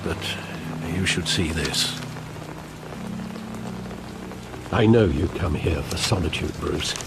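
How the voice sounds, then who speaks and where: An actor playing a loyal elderly male butler, speaking in a measured, formal, grave way. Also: a bass voice, vocal fry, noisy background, full character voice. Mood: solemn. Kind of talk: video game cutscene acting. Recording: game audio with rain ambience. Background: rain and ambience.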